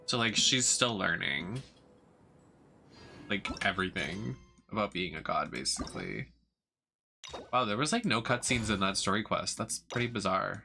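A young adult streamer, chatting casually in a campy, playful, drawn-out way. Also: low-pitched, animated, gravelly, music in the background. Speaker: game streamer. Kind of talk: casual livestream commentary. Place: indoor streaming setup.

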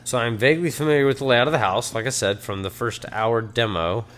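A hoarse man with a Texan accent, chatting nervously and muttering as he plays a horror game, swearing at the scares. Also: medium pitch, brisk, a bit bright. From Texas. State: hoarse from allergies. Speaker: let's play gamer.

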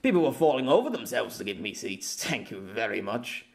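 A man's voice: medium pitch, very animated, fairly quick. A man voicing a bashful yet boastful, smitten man in an audiobook, hesitant and jovial. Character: bashful, boastful, smitten celebrity man in his thirties or older.

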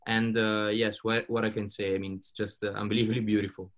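A man's voice: on the low side, level tone, a little fast. A man on a video call, speaking hesitantly with many fillers but enthusiastically about classic cars on a panel.

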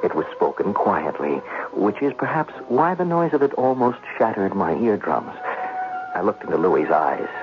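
An actor playing an anxious, indignant gambler, narrating and pleading theatrically in a 1970s radio drama. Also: male voice, lowish voice, big pitch swings, moderate pace, noisy background. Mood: dread. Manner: theatrical, stammering, nervous. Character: anxious, indignant male gambler in debt, narrating his plight. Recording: dull vintage radio recording, music behind.

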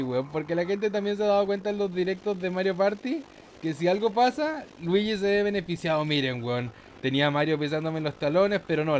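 A man's voice: sits high, a little hiss or hum. A man giving casual, slangy, mock-boastful gaming commentary with some swearing. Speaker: gameplay host.

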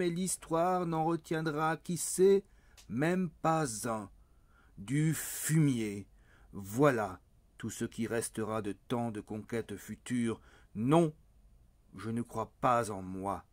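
A man recites poetry in a dark, soft-edged voice. He takes his time over each phrase, solemn and theatrical, with deliberate pauses. The sound is dry, with no room around it. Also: medium pitch, no echo.

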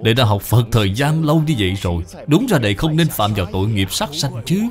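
A man's voice: mid-range voice, big pitch swings, a little fast, natural voice. A man voicing a stern senior Buddhist brother in a cartoon, grave, instructive and reproving. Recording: music behind the voice.

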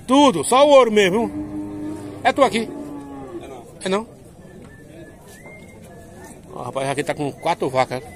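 A man's voice: sits high, big pitch swings, moderate pace, long pauses. A man vlogging at an outdoor cattle fair, chatty and upbeat, asking sellers their prices on a phone mic. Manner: upbeat, chatty, asks prices and praises the cows. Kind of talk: casual walk-and-talk interviews. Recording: outdoor phone recording with crowd around.

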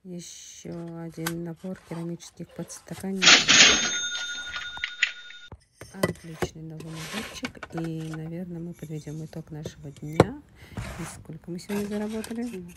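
A woman who is a little under the weather talks through a casual unboxing vlog. She talks quietly and chattily, with many fillers, and often drops into a breathy half-whisper. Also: on the low side, steady tone, normal speed. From Russian-speaking, living in the US. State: slightly ill, speaking softly. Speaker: vlogger. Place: home, indoors. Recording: loud background noise.

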